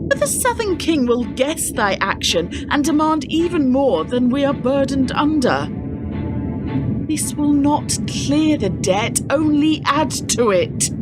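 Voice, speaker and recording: A woman acting an anxious, reproachful medieval lord's wife in a period radio play, speaking solemn archaic English in a declamatory way. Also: sits high. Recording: radio play with loud music underneath.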